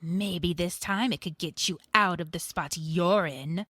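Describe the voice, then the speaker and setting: A woman's voice: on the low side, a little slow, bright, forceful tone, no room sound, studio quality. A woman voicing a glamorous, dangerous villainess in an audio drama, haughty and taunting. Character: glamorous femme fatale villainess, imperious, mocking, dangerous.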